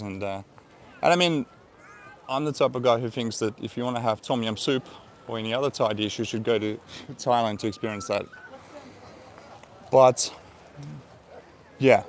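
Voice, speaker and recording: A man's voice: fairly low pitch, slight background noise. A man from Eastern Europe with an Eastern European accent in English, chatting casually on a live stream, rambling with many fillers and frequent laughs. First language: Russian. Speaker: vlogger.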